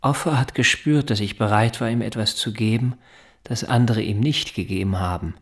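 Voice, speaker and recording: An actor in a German radio drama playing an anxious, evasive, articulate father who speaks in a deliberate, formal, earnest way. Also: fairly low pitch, lightly acted. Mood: earnest, confessional.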